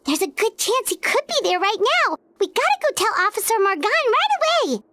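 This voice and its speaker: Very high, squeaky little companion girl. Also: big pitch swings, brisk, pushed and bright, no room sound, heavily acted. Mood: eager, urgent. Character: small childlike excitable female adventuring companion. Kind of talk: video game voice acting.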